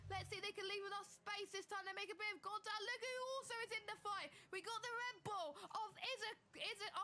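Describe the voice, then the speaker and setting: A woman with a cold, losing her voice, giving excited live commentary on a sim race. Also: extremely high pitch. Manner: excitable, building to shouts at close battles.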